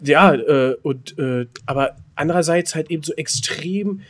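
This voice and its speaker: A man's voice: fairly high pitch, a little slow. A middle-aged man co-hosting a podcast in casual, bantering chat, talking in a blunt, colloquial way with some crude slang. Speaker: podcast co-host.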